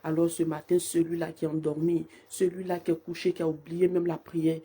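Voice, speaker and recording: A woman leading a live-streamed morning prayer with fervent, repetitive invocations, her voice hoarse from a cold. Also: low voice, little pitch movement, brisk, dark tone. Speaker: prayer leader.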